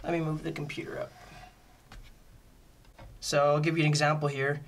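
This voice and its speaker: Bright-voiced man giving a casual tutorial. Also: fairly high pitch, little pitch movement, normal speed. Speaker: music gear tutorial presenter.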